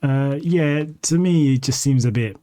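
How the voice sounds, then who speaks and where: A man's voice: mid-range voice, unhurried, mellow, no room sound. Laid-back opinionated man. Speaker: podcast co-host. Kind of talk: casual chat.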